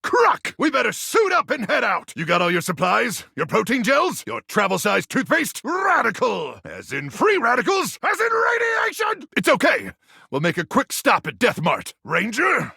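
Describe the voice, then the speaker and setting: A man voicing a gung-ho but insecure soldier-like microwave in a video game, gravelly and strained, with melodramatic bravado and boyish slang. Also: very high-pitched, bright, forceful tone, no room sound, clean studio sound, heavily acted. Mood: flustered, indignant. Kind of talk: animated video game voice acting.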